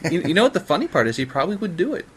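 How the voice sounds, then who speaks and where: Animated man telling stories in casual chat. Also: high-pitched, racing, crowd noise. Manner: jokey, swearing, acts out quoted dialogue.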